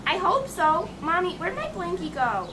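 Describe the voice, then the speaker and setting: A woman's voice: sing-song, moderate pace. A woman playing an eager, innocent little girl in an amateur radio play, with a childlike manner. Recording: distant mic, noisy rumbling home recording.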